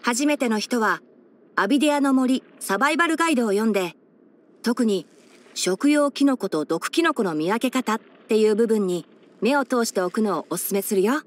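Woman doing a boyish anime game fox ranger guy, kinda high voice, helpful n frank, bit teasing, scholarly. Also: animated, moderate pace, natural voice. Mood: helpful, composed. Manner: matter-of-fact, instructive, gently teasing. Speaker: character voice actor. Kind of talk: anime game character voice lines.

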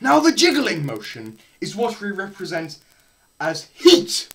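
Melodramatic young man, wildly swinging pitch. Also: huge pitch swings, a little slow. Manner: melodramatic delivery swinging from hushed murmurs to loud cries. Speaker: presenter performing a dramatised physics lecture. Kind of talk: theatrical scripted lecture reading. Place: small plain room indoors.

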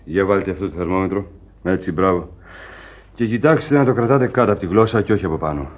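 Ship captain, very deep voice, measured, formal, radio play. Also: male voice. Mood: grave. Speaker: radio drama actor.

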